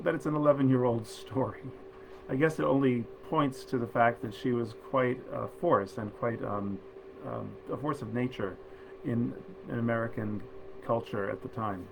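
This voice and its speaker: A man's voice: medium pitch, creaky, noisy background. A man hosting a literary reading, speaking as a presenter and storyteller of old tales. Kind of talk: informal introduction, then storybook reading aloud.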